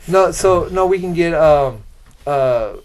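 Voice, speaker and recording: Man chatting casually, halting and sweary. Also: a little high, expressive, heavy background noise. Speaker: podcast co-host. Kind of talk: casual chat, pitching film ideas.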